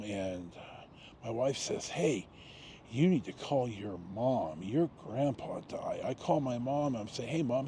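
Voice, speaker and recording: Older american guy in his 60s telling life stories, mellow voice, rambly with big pauses, bit of background noise. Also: medium pitch. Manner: halting, rambling, with long pauses and self-corrections. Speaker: video storyteller. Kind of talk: casual autobiographical storytelling.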